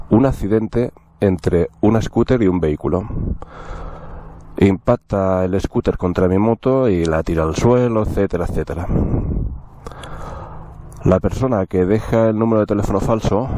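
Guy in a helmet talking to camera outside, low mellow voice, telling a story with jokey asides. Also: unhurried, pauses often, a little hiss or hum. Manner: chatty, wry, storytelling with asides to viewers. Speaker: motovlogger. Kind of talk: casual vlog talk to camera.

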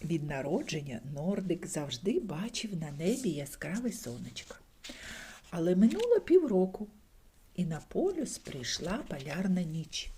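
An older woman reading a bedtime fairy tale aloud expressively, jumping to high voices for characters and dropping to soft whispers. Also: big pitch swings, unhurried, slight background noise.

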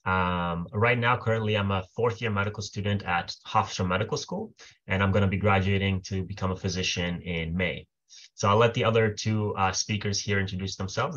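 A young man from Israel presents a webinar in a relaxed, friendly way, pausing on fillers. His voice sits very low, and the webcam audio sounds a little dull. It is a video-call recording. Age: 20s. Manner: friendly, loose, many fillers. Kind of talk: casual presentation. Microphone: webcam mic on video call.

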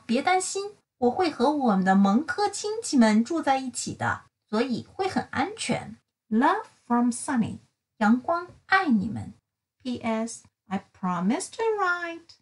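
A woman voicing an eager, cheerful young male meerkat reading his letters home in a children's picture book. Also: high-pitched. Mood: earnest, reassuring.

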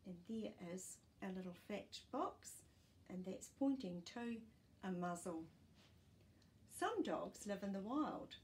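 A woman, reading a children's book aloud in a deliberate, explanatory way with asides. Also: mid-range voice, expressive, a little mellow. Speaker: storytime presenter reading to children. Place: small living room, indoors. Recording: faint room noise.

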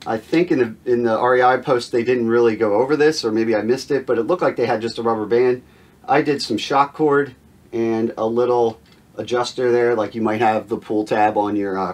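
Casual man explaining DIY gear. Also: medium pitch. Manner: conversational, informal, explanatory. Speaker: host of a DIY outdoor gear channel. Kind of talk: casual hands-on explainer to camera. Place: furnished indoor gear room at home.

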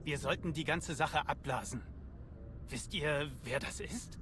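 A man's voice: fairly high pitch, measured pace, background noise, natural voice. A man playing a skeptical field doctor in a German game dub, blunt and wry. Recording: sci-fi game ambience behind voice.

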